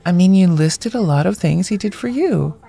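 An actress playing a blunt, wry, loyal friend in a naturalistic audio drama, speaking casually. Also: female voice, lowish voice, animated, normal speed, creaky, mellow. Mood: frank, challenging. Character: blunt, foul-mouthed, loyal woman friend, homebody.

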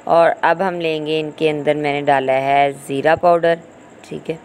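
A woman's voice: low voice, level tone, a little slow, a little mellow. A woman giving an informal, instructional recipe voice-over as a cooking host. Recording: home recording with clear background noise.

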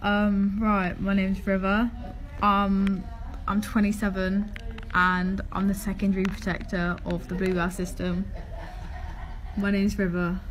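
A woman introduces herself casually, pausing and hesitating now and then. Her voice sits in a middle pitch. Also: little pitch movement, slight background noise, poor audio. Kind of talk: short self-introduction video.

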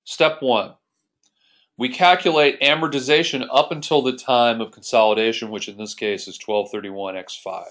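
A man's voice: medium pitch, steady tone, moderate pace, a bit bright. An American man giving an explanatory screencast lesson as an accounting instructor, explaining methodically and step by step.

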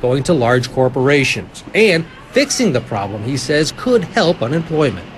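A man in his forties, a field reporter, narrating a news story in a clear, measured delivery while standing on a town street. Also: medium pitch, big pitch swings, a little hiss or hum. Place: outdoors on a small-town street.

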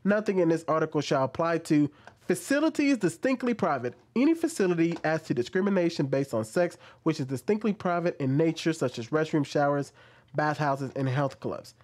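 A man in his thirties hosting casual commentary at home into a studio mic, talking with animated, questioning emphasis. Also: a little high, a little fast. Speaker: commentary host. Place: small room at home, indoors. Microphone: studio mic on an arm, wearing headphones.

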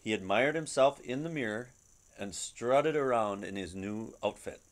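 Expressive middle-aged man reading a storybook. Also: lowish voice, moderate pace. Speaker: storybook reader. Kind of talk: children's picture book read-aloud. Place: living room at home. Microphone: webcam at about arm's length.

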